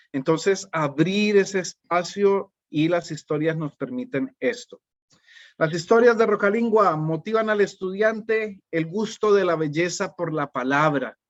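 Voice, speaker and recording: A middle-aged man with a Colombian accent presents a teaching webinar in a calm, unhurried way and often checks in with his listeners. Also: fairly high pitch, dry recording. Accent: Colombian Spanish. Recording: dull, dry, lo-fi webinar audio.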